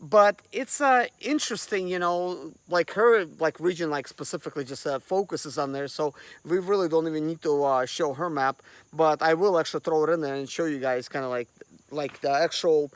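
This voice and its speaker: A man's voice: on the high side, moderate pace, clear, resonant tone, no echo. A man from Russia, hosting a casual vlog and rambling with lots of fillers. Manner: rambling, full of fillers like "like" and "you know". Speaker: vlog host. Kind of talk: casual chat to camera.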